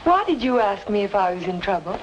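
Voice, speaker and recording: A woman's voice: medium pitch, big pitch swings, brisk, muffled recording, slight background noise, lightly acted. An actress playing a polite, troubled young woman in an old-time radio noir drama. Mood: worried, doubting. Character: polite, troubled young woman questioning those around her.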